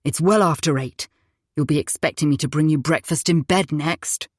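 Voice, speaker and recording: A woman's voice: deep voice, moderate pace, no echo, clean studio sound. A woman voicing a moody, controlling young husband in an audiobook, curt and dismissive one moment, falsely sweet the next. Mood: scornful. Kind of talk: audiobook character voice.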